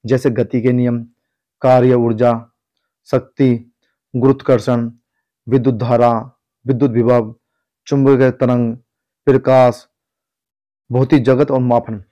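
Mellow North Indian man teaching. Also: mid-range voice, level tone, takes it slowly, long pauses, lo-fi sound. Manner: instructive, repeats advice, addresses students directly. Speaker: exam coaching teacher, former police employee. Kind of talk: informal exam guidance lesson.

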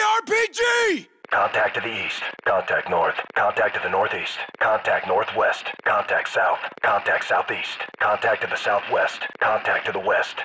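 A man's voice: very high-pitched, bright, forceful tone, full character voice. A man voicing a tough, disciplined special forces soldier in a military shooter game, giving terse, commanding combat callouts. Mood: shouting, urgent. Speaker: video game character voice actor. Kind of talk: military shooter battle chatter, short clipped callouts.

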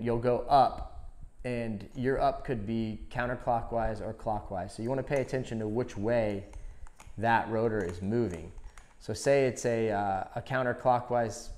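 A man's voice: mid-range voice, a little mellow. A man demonstrating a product in a conversational, step-by-step instructional style. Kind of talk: hands-on instructional explanation.

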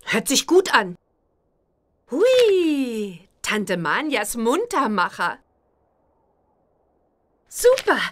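A woman's voice: on the high side, wildly swooping pitch, extremely slow, long pauses, full character voice. A woman playing an eager, childlike young witch girl in a German children's computer game. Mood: delighted.

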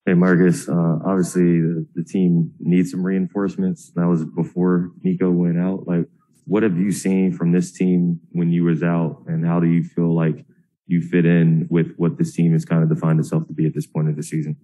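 A man's voice: low voice, completely flat delivery, moderate pace, mellow. A male sports reporter asking questions casually, with some hesitation. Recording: dull lo-fi audio.

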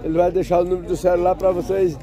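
A man's voice: sits high, flat delivery, racing. A man with a Northeastern Brazilian accent, chatting casually as he tours a cattle fair and asks sellers for prices. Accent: Brazilian Northeastern Portuguese. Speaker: vlogger touring a cattle fair. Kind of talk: casual on-the-spot commentary. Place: outdoor cattle fair, crowded pens. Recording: outdoor fair with crowd chatter. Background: crowd chatter and livestock.

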